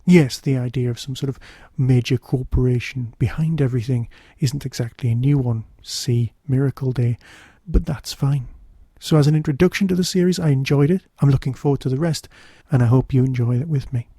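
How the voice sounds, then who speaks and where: Dark, mellow man reviewing on a podcast. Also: medium pitch, fairly quick, hardly any pauses, husky, no room sound, studio quality. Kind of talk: informal spoken review.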